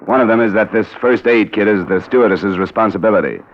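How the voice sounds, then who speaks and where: A man's voice: on the low side, quick pace, natural voice. An American man playing a cool, world-weary insurance investigator in an old radio crime drama. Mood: pressing. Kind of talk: 1940s-50s radio detective drama acting. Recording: dull old radio broadcast recording.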